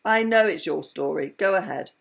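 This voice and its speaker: Older lady doing a cheeky scheming storybook boy, eager n coaxing, bouncy pitch, bit creaky. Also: animated, normal speed. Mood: resigned, scolding. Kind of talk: storybook character reading.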